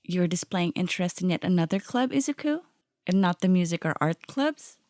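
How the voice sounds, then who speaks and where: A woman voicing a poised teenage class president who is mildly annoyed, asking crisp, probing questions in a fan audio drama. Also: on the low side, lively intonation, a little fast, a little mellow.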